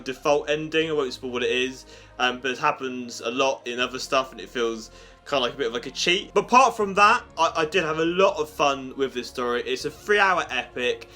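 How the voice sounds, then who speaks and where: A man's voice: mid-range voice, bright, forceful tone. A man giving a casual, chatty and enthusiastic review to his online viewers. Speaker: YouTube reviewer and host. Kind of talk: casual review of an audio drama, spoken to viewers. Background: music under the voice.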